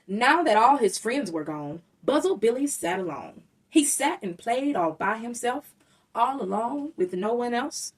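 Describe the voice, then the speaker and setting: A woman's voice: fairly high pitch, lively intonation, measured pace, husky, far from the mic. A woman reading a rhyming children's story aloud, expressively and with dramatic emphasis. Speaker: storybook reader.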